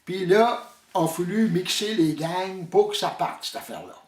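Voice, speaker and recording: A man's voice: high-pitched, moderate pace. A man with a Quebec French accent telling a comic hunting story in a folksy, hesitant way. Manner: folksy, hesitant, mixes up sayings. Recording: roomy echo, distant mic.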